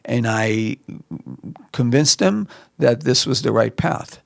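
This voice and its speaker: A man's voice: medium pitch, lively intonation, takes it slowly, creaky, no echo, studio quality. A middle-aged man from Lebanon, a musician guest on a podcast, answering in a reflective way with many fillers and restarts. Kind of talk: relaxed interview answers. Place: podcast studio.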